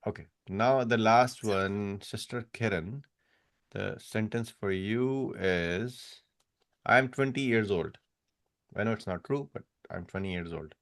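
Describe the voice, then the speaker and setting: A man's voice: fairly low pitch, slow, a bit bright, no room sound, clean studio sound. Patient man teaching over a call. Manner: patient, correcting students step by step. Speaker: Arabic teacher. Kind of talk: online class instruction.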